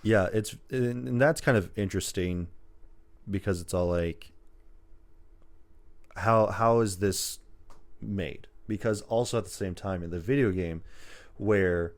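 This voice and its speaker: A man's voice: low voice, a little slow, long pauses. A man chatting casually as a podcast co-host, with a rambling, loosely strung way of explaining things. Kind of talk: casual chat about video game movies.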